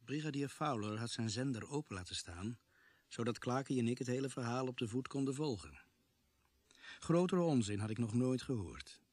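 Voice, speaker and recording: Een acteur die in een hoorspel als verteller optreedt en bedaard terugblikt op de gebeurtenissen. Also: male voice, medium pitch, animated, moderate pace, darkish tone, no echo, lightly acted. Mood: matter-of-fact. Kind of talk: scripted radio play narration.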